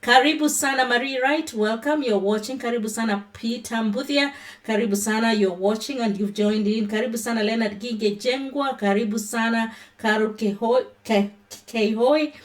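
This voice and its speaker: A woman's voice: fairly high pitch, normal speed. A Kenyan woman hosting a casual livestream from her phone, greeting viewers by name and moving into a Bible talk. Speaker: livestream host.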